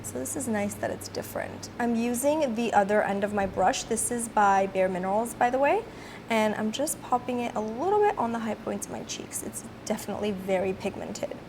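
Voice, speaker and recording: A woman with a slightly raspy voice from a cold, chatting casually as she walks through her makeup routine step by step. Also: medium pitch, animated, brisk, background noise. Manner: chatty, filler-heavy, explaining each step. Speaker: beauty vlogger. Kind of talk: casual makeup tutorial narration.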